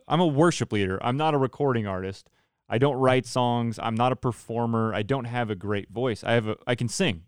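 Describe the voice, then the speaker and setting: Clear-voiced man hosting a casual chat. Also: medium pitch, no room sound, clean studio sound. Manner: chatty, self-deprecating, joking. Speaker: podcast host; church worship leader. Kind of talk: casual conversational interview.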